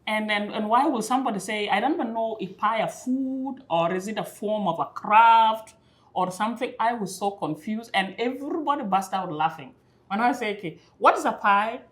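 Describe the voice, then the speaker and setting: A young woman from Kenya telling stories in a relaxed conversation, repeating words as she starts and laughing along. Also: mid-range voice, normal speed. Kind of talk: conversational storytelling.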